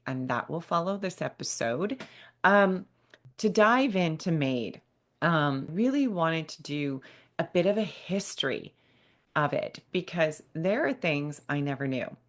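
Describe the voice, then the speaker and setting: A woman in her fifties speaking earnestly and emphatically to listeners as the host of a solo podcast. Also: deep voice. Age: 50s. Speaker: podcast host and bereavement specialist.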